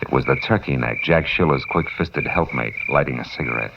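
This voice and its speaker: Deep-voiced hard-boiled radio private eye. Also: male voice, brisk, vocal fry. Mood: wry narration. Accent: American. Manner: clipped, sardonic. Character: hard-boiled male private detective, wry and tough. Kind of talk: 1950s radio noir acting with first-person narration. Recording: noisy muffled vintage radio broadcast.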